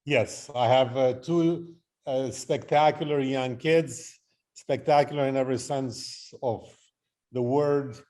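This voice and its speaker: A middle-aged man, answering interview questions in a halting, reflective way and often listing things out. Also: mid-range voice, warm tone. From Romania. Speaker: interview guest, artist and photographer. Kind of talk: interview conversation.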